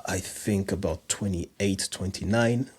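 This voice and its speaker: A man's voice: low voice, slow, a little mellow, breathy edge. A man in his thirties with a French accent, a personal trainer talking easily as a podcast guest with many restarts and fillers. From France and other countries. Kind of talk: casual podcast interview.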